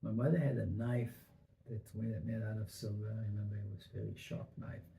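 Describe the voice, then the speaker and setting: An older man from Israel telling his family history in an oral history interview, halting and full of fillers and restarts. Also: fairly low pitch, steady tone, gravelly, mellow. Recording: echoey room, faint noise.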